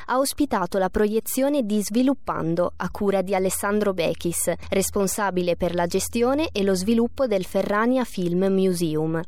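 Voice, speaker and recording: A woman's voice: medium pitch, darkish tone, no echo. Woman co-presenter with a dry, close sound. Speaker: co-presenter of a festival report. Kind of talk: scripted narration.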